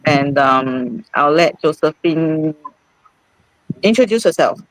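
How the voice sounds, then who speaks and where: Woman host, forties, informal and halting, dry sound. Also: low-pitched, lively intonation, no room sound, low-quality recording. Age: 40s. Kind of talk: informal live-stream presentation.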